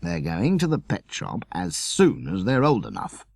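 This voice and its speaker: Man doing a grumbling father, children's audiobook, brisk, exasperated, highly animated, dry close sound. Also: vocal fry, dry recording, full character voice.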